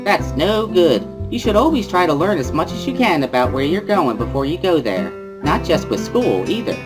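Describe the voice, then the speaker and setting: Woman voicing a bubbly, girlish schoolgirl, swoopy pitch. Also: moderate pace, music in the background. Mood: bubbly, teasing. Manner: girlish, exclamatory, easily excited. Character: bubbly, playful schoolgirl interpreter who laughs loudly. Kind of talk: amateur visual novel dramatic reading.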